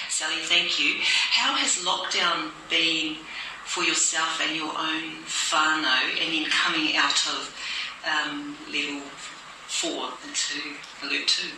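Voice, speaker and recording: New Zealand woman hosting, echoey room. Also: low voice. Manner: friendly, encouraging interviewer. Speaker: host. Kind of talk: hosting a staff interview. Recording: echoey room, distant mic, noise.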